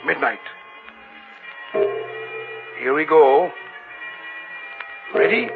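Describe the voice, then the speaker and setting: An actor playing an older, obsessive and patronizing occult scholar in old-time radio horror, speaking gravely and theatrically. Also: male voice, fairly high pitch, lively intonation, drawn-out words, over music, exaggerated voice. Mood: tense, insistent. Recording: dull, noisy old radio recording.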